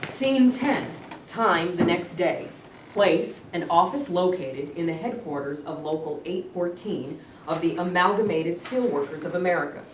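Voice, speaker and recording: A woman's voice: fairly low pitch, expressive, measured pace. A woman reading stage directions as scripted narration at a staged reading. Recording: echoey room, distant mic, clear noise.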